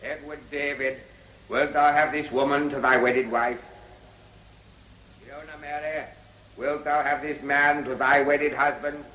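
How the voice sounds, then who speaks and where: A man's voice: fairly high pitch, little pitch movement, measured pace, long pauses, sharp tone. Echoing, solemn clergyman. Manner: ceremonial, intoning. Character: solemn English clergyman conducting a wedding. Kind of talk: radio drama acting. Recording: echoey, noisy, distant church-like sound.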